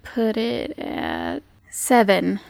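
A woman's voice: mid-range voice, huge pitch swings, very slow, creaky, darkish tone, no echo. A woman narrating casually as game master, improvising scenes and rulings for her players.

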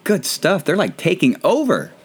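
A man's voice: a little high, wildly swooping pitch, moderate pace, breathy edge. A Filipino man, not a native English speaker, hosting a casual, rambling, self-deprecating chat show. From Philippines. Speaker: podcast host.

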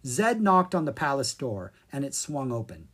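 A woman reading a children's fairy tale aloud as an expressive storyteller. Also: rumbling low, moderate pace, breathy edge. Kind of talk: expressive scripted narration for children.

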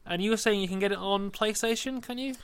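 A man's voice: high voice, quick pace, a bit bright, clear, resonant tone. A young man co-hosting a casual podcast chat, leading through the news and teasing his co-host with dry humour.

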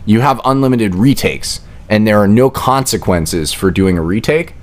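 A young man with a lowish voice. He talks in an informal, conversational way, as a guest would on a casual podcast. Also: expressive, moderate pace. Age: young adult.

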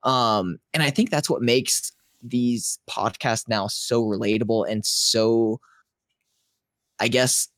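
A man co-hosting a podcast in casual chat, keeping his naturally high voice pitched lower and thinking aloud in long, reflective sentences. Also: fairly even intonation, slow, a bit bright, no echo, studio quality. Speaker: podcast co-host.

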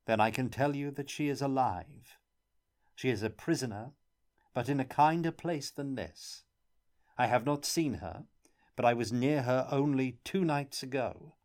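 A man voicing a travelling player who speaks gently and calmly. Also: medium pitch, measured pace, voice pushed up, natural voice. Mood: gentle. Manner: gentle, calm.

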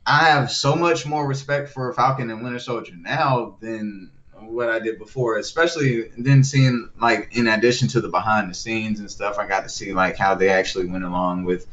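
A man co-hosting a casual podcast, talking loudly and rambling with a lot of laughter. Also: fairly low pitch, steady tone, moderate pace, few pauses. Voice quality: loud voice. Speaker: podcast co-host. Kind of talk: casual chat. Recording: dull lo-fi sound, faint noise.

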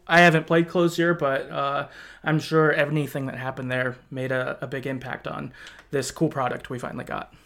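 Man podcast host, slightly high voice. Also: fairly bright tone. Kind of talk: casual podcast hosting.

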